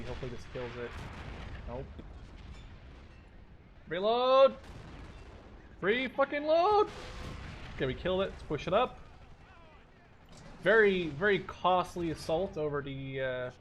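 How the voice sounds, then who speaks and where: A man's voice: on the high side, sing-song, unhurried, pauses often, a bit bright. Young man gaming over battle noise. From Canada or the Netherlands. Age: 20s. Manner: sweary, thinking aloud. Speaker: gaming video host. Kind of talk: casual let's play commentary. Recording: game gunfire and vehicle noise behind. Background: war game artillery, gunfire and vehicles.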